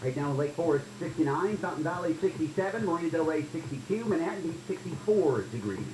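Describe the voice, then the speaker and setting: A man's voice: a little high, expressive, fast talker. A man reading scripted weather and news as a radio newsreader. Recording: roomy, clearly noisy with hum.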